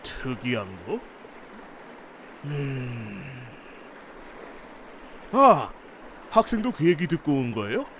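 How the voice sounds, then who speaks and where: A man's voice: mid-range voice, big pitch swings, slow, pauses often, fairly bright tone, a little hiss or hum, heavily acted. A man playing an easygoing, chatty night angler in a visual novel, with a folksy, teasing manner. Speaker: supporting character in a visual novel. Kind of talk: acted casual dialogue. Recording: faint night insect ambience behind voice.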